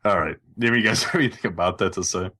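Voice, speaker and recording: Low-pitched male podcast host, informal gamer conversation. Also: fast, bright, forceful tone. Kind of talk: casual gaming podcast chat.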